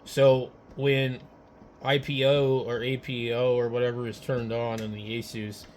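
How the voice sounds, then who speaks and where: A man in a casual technical chat as a ham radio operator, speaking haltingly as he thinks aloud. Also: medium pitch, flat delivery, darkish tone, slight background noise.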